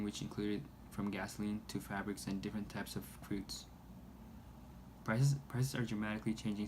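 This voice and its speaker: A man reading a scripted news report aloud in a halting way, stumbling over words. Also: fairly low pitch. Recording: noisy amateur recording.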